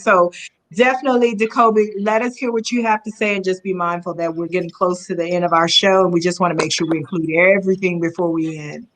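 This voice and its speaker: A woman's voice: mid-range voice, fairly bright tone, low-quality recording. A woman hosting a live online talk show, upbeat and affirming, often laughing as she wraps up and runs a giveaway. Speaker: host.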